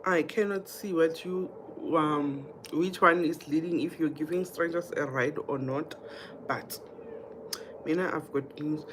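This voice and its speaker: A woman's voice: deep voice, steady tone, a little slow, background noise. A woman from South Africa with a South African accent, hosting a casual livestream, chatting directly to viewers and often repeating herself. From Mpumalanga, South Africa. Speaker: livestream host. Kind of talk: casual live chat, reading comments and telling anecdotes.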